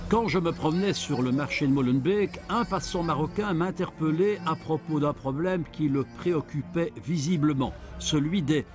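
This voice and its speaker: Male documentary narrator, higher register, ironic edge, clear music bed underneath. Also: normal speed, hardly any pauses. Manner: wry, ironic. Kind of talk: scripted investigative narration.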